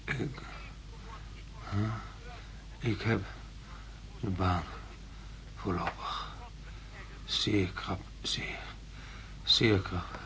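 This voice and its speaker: Halting, exhausted, delirious astronaut. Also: male voice, low-pitched, bright, forceful tone, slight background noise, exaggerated voice, rough recording. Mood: dazed muttering. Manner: halting, repetitive, muttering in broken phrases. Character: exhausted delirious male astronaut reliving a perilous landing. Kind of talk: radio drama acting.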